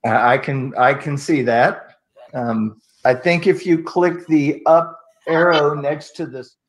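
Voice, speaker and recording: An older man speaking as a judge in an online hearing, giving polite, hesitant, deadpan instructions. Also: on the high side, takes it slowly, low-quality recording. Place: online video call.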